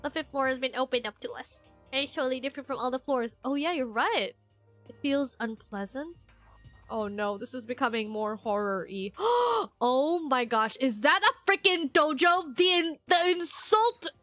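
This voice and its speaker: A woman streaming a first playthrough of a video game, her nose stuffy and congested. She reacts excitably, gasping and laughing often. Her voice sits fairly high, with faint music in the background. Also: normal speed. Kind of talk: casual gameplay commentary.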